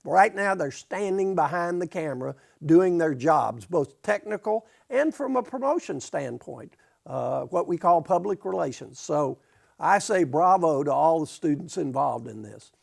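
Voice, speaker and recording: A man hosting a university talk show, speaking in a halting way and restarting his sentences. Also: fairly high pitch, expressive, gravelly, darkish tone. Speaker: host. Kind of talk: campus TV talk show interview.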